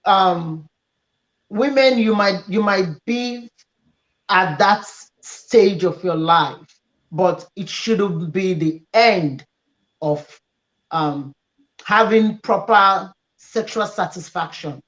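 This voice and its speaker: A woman speaks very slowly and haltingly, pausing on fillers as she gives her final word on a talk show panel. Her voice comes through a dull, muffled line. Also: mid-range voice, a bit bright. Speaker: panel guest. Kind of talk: closing remarks on a talk show. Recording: muffled lo-fi audio.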